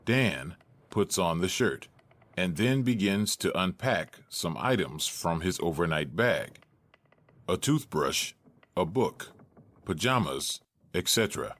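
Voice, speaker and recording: Deep guy narrating a script, unhurried, measured, just stating the action. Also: lively intonation, fairly bright tone. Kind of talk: scripted stage-direction narration.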